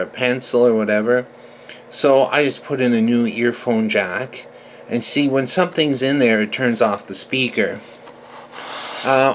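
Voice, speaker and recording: A man's voice: mid-range voice, fairly bright tone, a little hiss or hum. A man giving a casual, unscripted, matter-of-fact video demonstration as a hobbyist collector. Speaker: vintage radio collector presenting his restoration.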